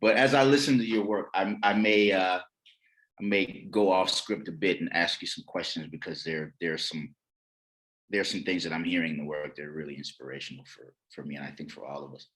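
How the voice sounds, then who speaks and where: Man, low voice, moderator, halting speech, online call. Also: steady tone, fairly bright tone, poor audio. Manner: halting, with many restarts. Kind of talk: conference conversation. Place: video call.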